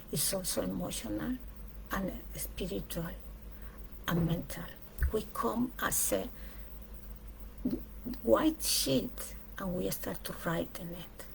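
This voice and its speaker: Female speaker, for a reflective spiritual talk; unhurried delivery in short weighted phrases, gravelly creak in the voice. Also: mid-range voice, expressive, a little mellow, slight background noise, low-quality recording. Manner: deliberate, emphatic phrasing in short pieces with pauses.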